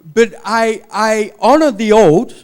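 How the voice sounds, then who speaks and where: A man, a native English speaker, preaching in short phrases with pauses for an interpreter and repeating them for emphasis. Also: high-pitched, clean tone. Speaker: visiting preacher.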